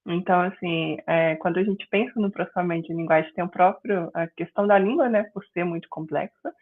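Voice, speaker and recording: A middle-aged woman from Minas Gerais with a Mineiro accent, teaching an online class in a friendly, explanatory way and filling pauses with "né". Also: lowish voice. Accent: Mineiro Brazilian Portuguese. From Minas Gerais, Brazil. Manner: friendly, explanatory, frequent "né" and "é" fillers. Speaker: guest instructor. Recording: tinny phone-like online call audio.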